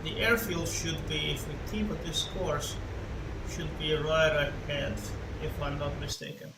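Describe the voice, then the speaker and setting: A man whose first language is not English talks through a flight-sim game, musing aloud and often checking his own words. His voice sits a bit high for a man. He is recorded far from the mic in an echoey room, under a loud steady hum. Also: measured pace. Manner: thinking aloud, unsure of names, self-correcting. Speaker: flight sim gameplay commentator. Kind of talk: casual gameplay commentary.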